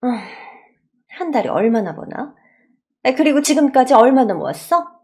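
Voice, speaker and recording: A woman's voice: sits high, big pitch swings, normal speed, a little mellow, voice pushed up. A woman voicing a stern, scornful middle-aged mother-in-law in a Korean radio story, with blunt, condescending questioning. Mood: haughty interrogation.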